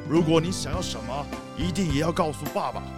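A man's voice: on the high side, a little fast, music in the background, natural voice. A man playing a blustering leopard boss and father in a children's radio play, with an emphatic, dramatic delivery. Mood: remorseful.

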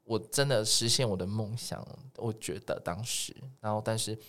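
A man's voice: on the low side, moderate pace, a bit bright. A man with a Taiwanese Mandarin accent telling personal stories in casual podcast chat, earnest and a little self-deprecating. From Yilan, Taiwan. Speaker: podcast guest, music producer.

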